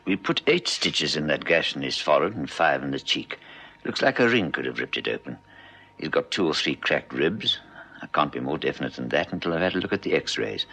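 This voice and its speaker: A weary hospital doctor in a vintage radio drama. The voice is extremely low and gravelly, with big swings in pitch. He is brisk, clinical and drily facetious. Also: very animated, sharp tone, heavily acted. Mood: weary, matter-of-fact. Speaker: actor in a radio police drama. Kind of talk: old South African radio drama acting.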